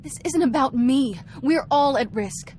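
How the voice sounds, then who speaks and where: An actress playing a fearful, haunted young Jedi in a video game, speaking haltingly and ominously. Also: female voice, high-pitched, animated, darkish tone, slight background noise. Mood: dread. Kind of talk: cinematic video game acting.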